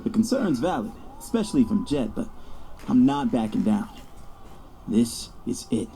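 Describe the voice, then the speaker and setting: Audio drama lead: man playing young athlete narrator, mellow mid-range voice, intense first-person delivery, light background ambience. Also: medium pitch, lively intonation, moderate pace, a little hiss or hum, close to an everyday voice. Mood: determined. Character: young male star quarterback, cocky yet anxious.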